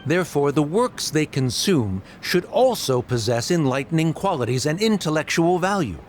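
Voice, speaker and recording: A man's voice: a little high, sing-song, normal speed, faint music behind. A man playing a haughty, condescending academy scholar in a video game, speaking formally and pompously. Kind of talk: video game dub acting.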